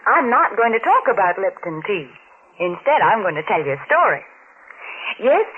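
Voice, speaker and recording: An American woman giving a chatty, bantering sponsor pitch as a 1940s radio announcer. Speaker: commercial announcer and co-host. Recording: narrow-band, noisy old radio.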